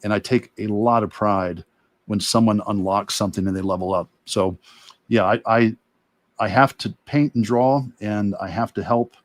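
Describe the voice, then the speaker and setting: A middle-aged man, an art teacher, talking earnestly and reflectively in halting phrases in a casual livestream discussion. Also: lowish voice, no room sound. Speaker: artist and illustration teacher, guest.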